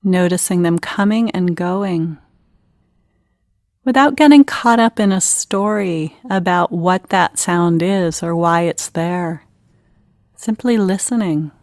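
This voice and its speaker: A woman leading a guided meditation in an unhurried, gentle, instructional manner with pauses between phrases. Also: lowish voice, dry recording, clean studio sound.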